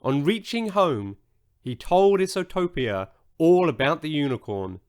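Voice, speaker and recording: A man narrating a children's bedtime story in a measured, expressive storytelling manner. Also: on the high side, clear, resonant tone. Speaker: audiobook narrator.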